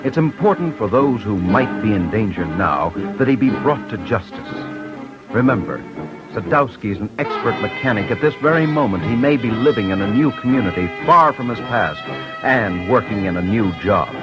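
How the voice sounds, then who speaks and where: A man's voice: fairly low pitch, lively intonation, normal speed. A man hosting an old-time radio crime program, reading a script in a grave, urgent manner with direct appeals to listeners. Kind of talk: mid-century radio scripted narration. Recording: muffled old radio broadcast with music. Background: music.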